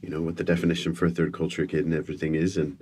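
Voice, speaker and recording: A man's voice: a bass voice, little pitch movement, rapid-fire, a little mellow, husky. A middle-aged man, born in the UK to American parents, telling self-deprecating stories as a guest in an interview. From born in the United Kingdom to American parents. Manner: self-deprecating, wry storytelling with frequent restarts. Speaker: guest speaker and comedian. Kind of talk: relaxed storytelling interview.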